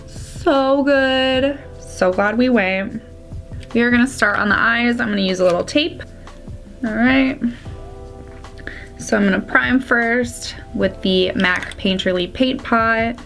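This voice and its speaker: A young woman with a slightly congested, raspy voice chatting casually through a makeup tutorial. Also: fairly high pitch. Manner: chatty, drops to soft whispers at times. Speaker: beauty vlogger.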